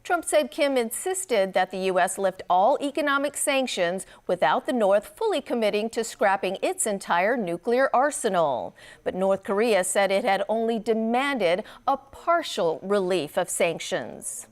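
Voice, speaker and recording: A woman's voice: mid-range voice, animated, a little slow, few pauses. Woman reading scripted television news. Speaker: news anchor.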